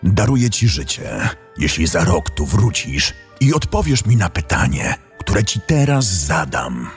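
A cruel dark sorcerer with a low-pitched voice. He draws out his threats slowly and ominously, as in a fairy-tale audio play, over music. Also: very animated, exaggerated voice. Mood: menacing. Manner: slow, ominous, deliberate.

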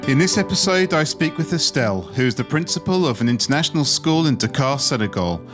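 A man's voice: fairly high pitch, expressive, fairly quick, music in the background. A man hosting an interview podcast, giving scripted introductions and putting questions to a guest.

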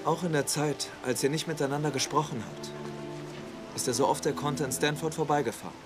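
A man's voice: on the high side, fairly quick, close to an everyday voice. An actor playing a blunt, loyal young man, a protective older brother, in dramatic dialogue. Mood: firm, sincere. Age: young adult. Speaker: actor in an audio drama or dubbed series. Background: loud music.

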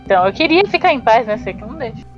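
A woman's voice: a little high, big pitch swings, very fast. A Brazilian woman chatting casually as a player in a tabletop roleplaying game. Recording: dull lo-fi mic, faint music behind.

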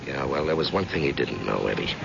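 A man's voice: a bass voice, expressive, quick pace, vocal fry, exaggerated voice. A man acting in an old-time radio drama as a grim, loyal private eye, earnest and urgent, with hard-boiled colloquial talk. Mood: tired, concerned. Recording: muffled, noisy old radio recording.

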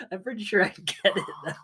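Giggly young man with a stuffy nose. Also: sits high. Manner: laughs a lot, teasing. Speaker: podcast co-host. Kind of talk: casual podcast chat.

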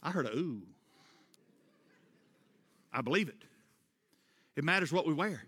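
A middle-aged man preaching a sermon, rising into loud emphatic refrains and dropping back to a quieter tone. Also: a little high, animated, measured pace, husky. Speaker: pastor. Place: church sanctuary. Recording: long church reverb.

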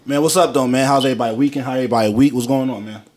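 Brief: young male podcast co-host, easygoing, cracks jokes, swears a lot, mid-pitched. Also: steady tone, racing, a crowd in the background. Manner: loose, joking, swears freely, playful put-on voices. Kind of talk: casual chat.

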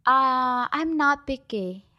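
A woman's voice: high voice, lively intonation, takes it slowly, no echo, dull audio. A young woman whose first language is Vietnamese, a guest in a casual interview who talks with many fillers and restarts.

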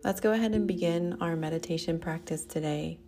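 A woman speaking as a meditation teacher, gently guiding a practice in a soothing, unhurried way. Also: on the low side. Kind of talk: guided meditation.

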